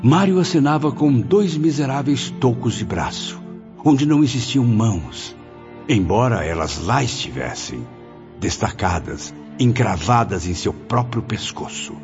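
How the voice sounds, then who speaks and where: A man's voice: medium pitch, very animated, measured pace. A man acting an anguished, devout male spirit in a radio drama, with a Brazilian accent and emotive, reverent delivery. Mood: horrified, sorrowful. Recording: muffled lo-fi, music behind.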